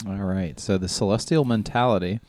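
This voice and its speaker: A man's voice: fairly low pitch, brisk, darkish tone, dry recording. A young man co-hosting a podcast in casual chat, teasing and playful. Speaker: podcast co-host.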